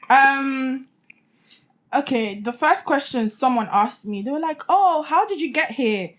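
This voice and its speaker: Lively, joking Nigerian-born young woman. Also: sits high, takes it slowly. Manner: animated, laughing storyteller who jokes. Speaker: podcast guest. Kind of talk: casual chat.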